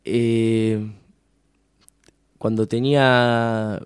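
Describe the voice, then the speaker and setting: A man's voice: fairly low pitch, flat delivery, very slow, smooth, no room sound. A man from Tucumán with an Argentine accent, a musician in his thirties, talking casually in an interview and rambling with many fillers and restarts. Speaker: musician guest.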